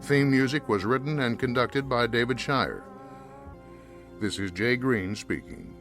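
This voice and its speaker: Man, radio drama announcer, bright forceful voice, scripted, faint music behind. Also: medium pitch, animated, unhurried.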